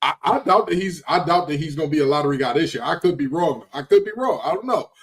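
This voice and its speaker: A man's voice: fairly high pitch, expressive, quick pace. A man giving casual, emphatic sports commentary as a talk show panelist, repeating phrases for effect.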